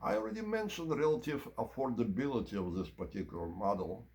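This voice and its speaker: A man presenting an informal product review and demonstration, explaining in measured, short broken phrases. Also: medium pitch, animated, a little mellow. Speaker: presenter of audio equipment review.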